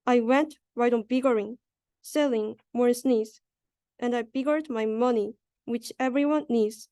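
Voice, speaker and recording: A woman's voice: fairly high pitch, unhurried, warm tone, dry recording, studio quality. A young adult woman, a student, reading a rhyming children's story aloud. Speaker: student reader.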